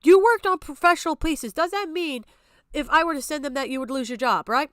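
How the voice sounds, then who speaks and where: A woman's voice: sits high, a little fast, a bit bright, dry recording, clean studio sound. A woman giving off-the-cuff, argumentative stream commentary in a blunt, exasperated, sweary manner. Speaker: streamer reacting to a video.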